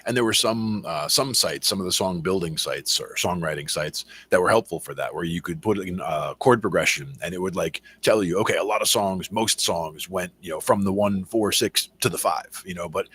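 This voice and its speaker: A man with a very deep voice, co-hosting a casual chat about songwriting and explaining his ideas at length with many fillers. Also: normal speed, few pauses, a bit bright, slightly rough. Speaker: podcast co-host.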